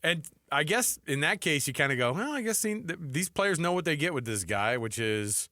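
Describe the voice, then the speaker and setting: Male co-host for sports debate, mid-pitched and animated, reasoning out loud in a dry studio sound. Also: moderate pace, dry recording. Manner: informal, thinks aloud, poses questions to co-host. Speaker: sports talk show co-host.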